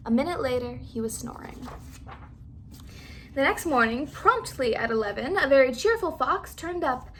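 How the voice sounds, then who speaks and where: A woman reading a children's story aloud as an expressive narrator. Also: high-pitched, normal speed, slight background noise.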